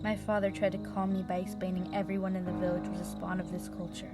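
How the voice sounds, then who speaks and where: Low-voiced vengeful mage played by a woman over music. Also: level tone, lightly acted. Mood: cold, resolute. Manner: emotive, deliberate, halting with pauses. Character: traumatized, vengeful female mage recounting her past. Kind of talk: dramatic audio roleplay monologue. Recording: music bed behind the voice.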